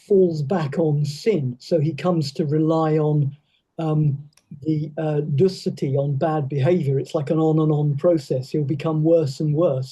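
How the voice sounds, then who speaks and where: A man in a class, offering ideas thoughtfully and hesitantly, with many pauses. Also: fairly high pitch, warm tone, breathy edge, low-quality recording. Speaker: student. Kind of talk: class discussion.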